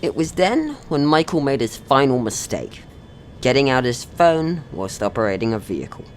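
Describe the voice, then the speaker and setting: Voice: medium pitch, sing-song, moderate pace, a little hiss or hum. A young person narrating a scripted story in a mock-serious way. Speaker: video creator narrating own short film.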